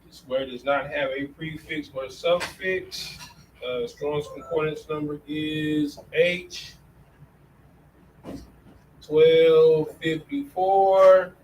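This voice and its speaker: A man's voice: a little high, fairly even intonation, drawn-out words, darkish tone. A man teaching a classroom lesson in a casual way, often saying "all right" between points. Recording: distant mic with faint room noise.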